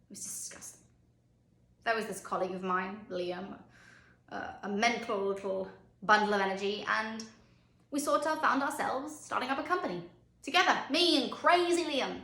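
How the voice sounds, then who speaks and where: A woman's voice: medium pitch, bright, forceful tone. A woman performing a dramatic monologue as a driven, candid, haunted mother, speaking emphatically with theatrical swings in volume. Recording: roomy echoing sound.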